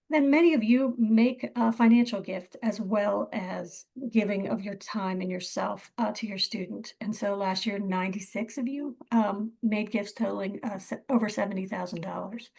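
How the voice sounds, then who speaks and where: A woman's voice: medium pitch, fairly even intonation, moderate pace, vocal fry, darkish tone. A woman giving an informal, appreciative presentation to volunteers as the head of a nonprofit. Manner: appreciative, thanking. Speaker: nonprofit chief executive presenting.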